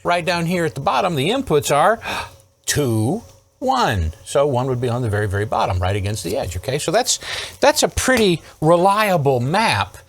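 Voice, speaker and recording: A man's voice: on the high side, big pitch swings, moderate pace, gravelly, a little hiss or hum. A man hosting an electronics repair video, talking casually and emphatically through troubleshooting, with rhetorical questions and frequent check-ins. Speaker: host of an electronics repair series. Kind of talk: casual hands-on troubleshooting demonstration.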